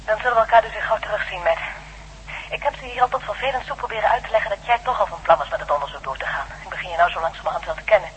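An actress playing a calm, wry kidnapped doctor in a radio drama, heard over a telephone. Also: female voice, medium pitch, very fast, breathy edge. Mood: wry, composed. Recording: dull, muffled telephone sound.

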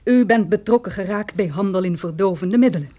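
An actress playing a brisk, stern young female lawyer in a radio drama, speaking in a precise, businesslike way. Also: mid-range voice, mellow, lightly acted. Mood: cool, official. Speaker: supporting actress. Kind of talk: radio detective drama acting.

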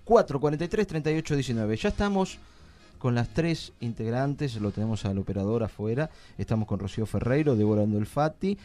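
A man's voice: mid-range voice, lively intonation, fairly quick, dark tone, faint music behind. A man with an Argentine accent hosting a casual live radio interview in a studio. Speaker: radio host.

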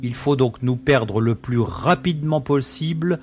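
A man acts out a starship pilot and smuggler in an amateur sci-fi audio drama. He is brisk, wry and used to giving orders, with a mid-pitched voice over faint music. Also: a little mellow, close to an everyday voice. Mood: decisive, businesslike. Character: gruff, decisive male starship pilot and smuggler.

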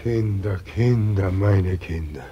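An actor playing a helpless, lamenting old God nobody believes in, with a husky, creaky voice in a muffled radio play recording. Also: male voice, sits low, warm tone, exaggerated voice. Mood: weeping, helpless. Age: older. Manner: lamenting, slow, repetitive. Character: forgotten God, a weeping, helpless old man. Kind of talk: post-war German radio play acting.

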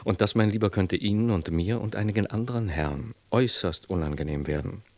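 An actor playing a cold, patronising corrupt official with a condescending, measured manner in a radio play. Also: male voice, on the low side, darkish tone, no echo. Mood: cold, calculating. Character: calculating, corrupt high official, coldly patronising. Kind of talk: German radio play dialogue.

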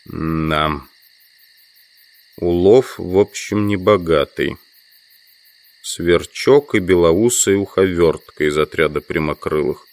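An actor playing a weary, educated insect collector, thinking aloud in a halting inner monologue for an audio drama. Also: male voice, low voice, no echo. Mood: musing, disappointed.

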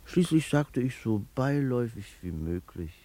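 A man's voice: medium pitch, big pitch swings, moderate pace, warm tone, natural voice. An actor narrating a radio play as a chatty barber, telling his story colloquially and vividly. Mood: uneasy storytelling. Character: chatty male Berlin barber recounting his troubles.

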